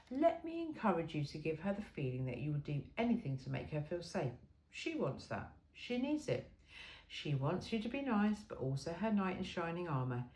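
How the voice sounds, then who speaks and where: A woman's voice: fairly low pitch, darkish tone, low-quality recording. A woman reading an informal self-help book aloud in an emphatic, cheeky and frank way. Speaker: amateur reader of a book aloud.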